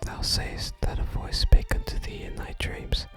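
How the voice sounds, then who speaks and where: An ASMR gamer voicing a solemn, archaic-speaking sage from a fantasy game in a hushed whisper. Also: unhurried, natural voice. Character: solemn archaic sage guarding a sacred staff. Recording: soft music under the whisper.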